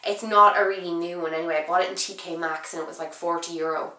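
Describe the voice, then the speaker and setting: A young woman with a cold, talking casually and at length as a beauty vlogger, her voice husky from being ill, with many ums and restarts. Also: fairly low pitch, steady tone, fast talker, pushed and bright, reverberant. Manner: chatty, rambling, frequent "um" and restarts. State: sick, voice husky and low. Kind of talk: casual chat to camera.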